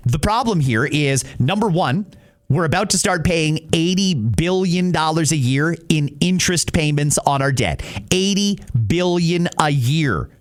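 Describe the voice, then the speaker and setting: A man's voice: fairly high pitch, lively intonation, measured pace. A man hosting a talk show, reading listener messages and answering them in an opinionated, conversational way. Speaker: radio talk show host.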